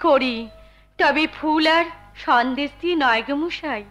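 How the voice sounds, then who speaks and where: An actress playing a gentle, devoted wife, sweet and coy, in a folk theatre play. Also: female voice, sits high, a little slow, faint music behind. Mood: tender, coy. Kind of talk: Bengali jatra folk theatre acting.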